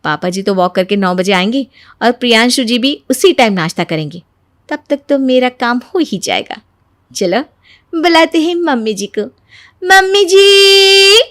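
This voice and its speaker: A sly, sugary young daughter-in-law from a family cartoon, acted by a woman. Her voice is very high and swoops widely, with a dry studio sound. She pesters eagerly with sing-song mock innocence. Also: animated, measured pace, fairly bright tone, no room sound. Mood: sly scheming. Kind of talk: animated moral story voice acting.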